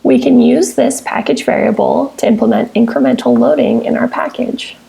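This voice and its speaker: A woman presenting a software tutorial as scripted, step-by-step screencast narration, with measured, instructional phrasing. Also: fairly high pitch, gravelly, husky. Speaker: software tutorial presenter, technical support engineer.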